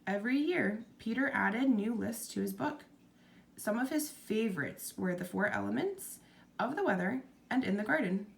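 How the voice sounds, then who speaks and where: A woman reading a children's storybook aloud as narrator, in an expressive scripted style. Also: medium pitch, moderate pace, vocal fry.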